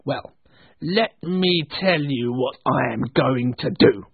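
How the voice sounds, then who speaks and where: A man voicing an old miser turned joyful, booming in animated storybook style. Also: slow pace, vocal fry, breathy edge, dry recording, heavily acted. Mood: mock anger. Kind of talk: animated storybook character acting. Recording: thin phone-like band-limited sound.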